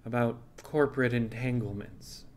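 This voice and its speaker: A man voicing a frank, thoughtful animal pirate captain with a level, folksy and deliberate way of talking. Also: medium pitch, fairly even intonation, close to an everyday voice.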